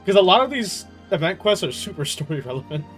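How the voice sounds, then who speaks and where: A man's voice: sits high, very animated, fast, fairly bright tone. A young man livestreaming a game from his room, chatting casually with slangy, playful reactions. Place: in a room at home. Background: faint game music.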